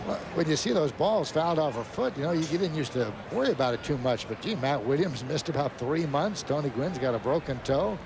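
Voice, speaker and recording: An American man with a somewhat high-pitched voice talks as a baseball co-commentator. His delivery is conversational and a little wry. Distinct outdoor ballpark noise runs behind him. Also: animated, brisk, few pauses. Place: open-air ballpark broadcast booth. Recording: noisy outdoor ballpark broadcast.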